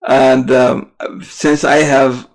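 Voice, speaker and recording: A man from the Middle East, a native Arabic speaker, giving unscripted political commentary as a podcast host in a halting way, often correcting himself. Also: mid-range voice, level tone. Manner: halting, searching for words with frequent self-corrections.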